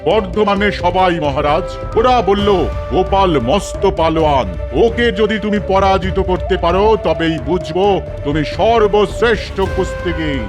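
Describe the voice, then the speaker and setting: A man's voice: sits high, moderate pace, natural voice. Man acting hulking cartoon wrestler, boastful, declamatory, proud champion, music in background. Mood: confident. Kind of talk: Bengali cartoon dialogue.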